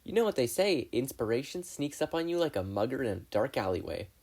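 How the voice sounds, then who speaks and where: A man's voice: medium pitch, big pitch swings, a little fast, vocal fry, a little mellow, pitched above the performer's own voice. Man voicing a brash, eccentric teenage girl inventor. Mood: breezy.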